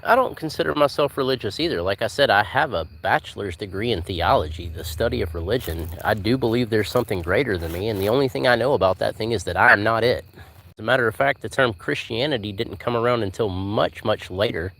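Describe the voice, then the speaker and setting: A man's voice: on the low side, quick pace, vocal fry, a bit bright, slight background noise, poor audio. A man in his forties chatting on a casual live stream while walking outdoors at night, easygoing and rambling, answering viewers by name. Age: 40s. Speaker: livestream host.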